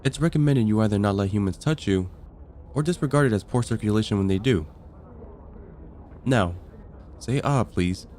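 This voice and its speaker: A man playing a composed, matter-of-fact vampire counselor in an ASMR roleplay, speaking in a measured, clinical way. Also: fairly low pitch, animated, a little mellow, a little hiss or hum, close to an everyday voice. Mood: clinical, reassuring.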